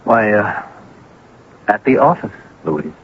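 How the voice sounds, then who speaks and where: An actor playing a meek, obedient husband in a radio drama, mild and hesitant. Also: male voice, on the low side, slow, creaky. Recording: dull, noisy old radio broadcast.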